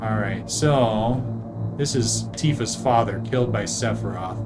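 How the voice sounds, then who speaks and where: A man's voice: fairly low pitch, measured pace. A man giving casual, conversational video game commentary, reacting aloud to what is on screen. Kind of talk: casual let's play commentary with voiced-over dialogue. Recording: loud game music behind voice. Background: video game music.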